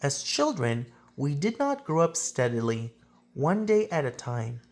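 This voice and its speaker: A woman reading a children's picture book aloud as a storyteller, with theatrical phrasing and drawn-out emphasis. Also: sits low, big pitch swings, darkish tone.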